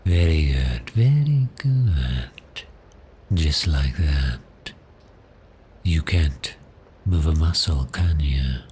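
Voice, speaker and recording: Very deep, unhurried male vampire, acted by a man. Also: expressive, a little hiss or hum. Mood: polite, hypnotic menace. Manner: courteous, unhurried, deliberate, softly menacing. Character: polite, cheerful, menacing male vampire toying with prey. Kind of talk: slow ASMR roleplay acting.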